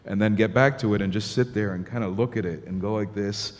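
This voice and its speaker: Male panelist from Miami, 30s, low-ish voice, reverberant conference hall, table mic. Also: a little fast. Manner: animated, thinking aloud with many restarts. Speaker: panelist journalist. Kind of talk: panel discussion answer. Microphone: table mic on stand.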